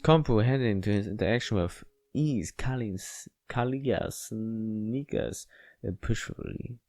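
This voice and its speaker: Man, low voice, non-native English accent, halting read-aloud delivery, casual let's play host, faint music in the background. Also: darkish tone, no room sound, studio quality. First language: not English. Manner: halting, stumbling reading. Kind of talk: reading a visual novel aloud with casual asides.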